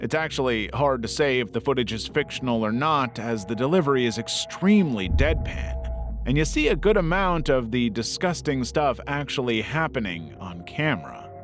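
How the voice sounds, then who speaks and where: A man's voice: medium pitch, expressive, moderate pace, soft background music. A man narrating a scripted documentary-style video essay as its narrator.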